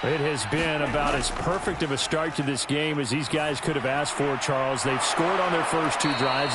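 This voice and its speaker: Casting: male actor for energetic American play-by-play announcer, video game football, higher-pitched voice over loud background noise. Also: natural voice. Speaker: play-by-play sports commentator.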